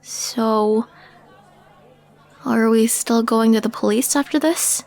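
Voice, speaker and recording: Woman acting slow-talking skeptical young woman. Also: fairly high pitch, steady tone, darkish tone, some chatter behind. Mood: wry. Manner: hesitant, wry, questioning. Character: skeptical, wry alien young woman, reluctant accomplice. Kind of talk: intimate audio roleplay acting.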